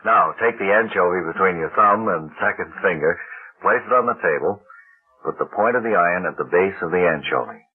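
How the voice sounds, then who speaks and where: Deep-voiced deadpan radio pitchman. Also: brisk. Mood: deadpan instructing. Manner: deadpan, step-by-step instructing. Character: earnest, bumbling male demonstrator in a parody sales pitch. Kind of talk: deadpan radio comedy sketch. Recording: dull, band-limited old radio recording.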